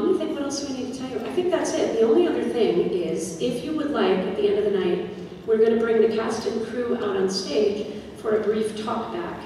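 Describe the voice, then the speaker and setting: A woman's voice: fairly high pitch, mellow, slight background noise. A woman drama director giving informal, conversational announcements to an audience before a show. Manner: chatty, conversational. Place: school theatre stage, distant microphone. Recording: echoey auditorium from far off.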